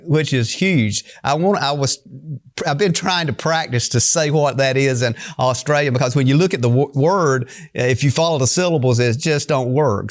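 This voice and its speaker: Rambling talk show host, a man. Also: medium pitch. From Eastern North Carolina. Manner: rambling, repeats words while framing questions. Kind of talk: casual interview.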